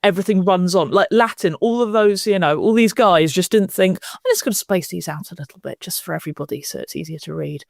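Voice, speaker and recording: A woman hosting a podcast in a casual, chatty style, with a jokey, animated, informal way of talking. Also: on the low side, quick pace, vocal fry, hardly any pauses, no room sound, studio quality. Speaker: podcast host.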